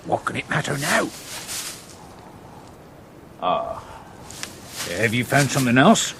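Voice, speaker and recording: A man's voice: mid-range voice, wildly swooping pitch, slow, creaky, noisy background, full character voice. An actor playing a plain-spoken, pious medieval countryman with a British accent, earnest and questioning, in a radio drama. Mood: puzzled.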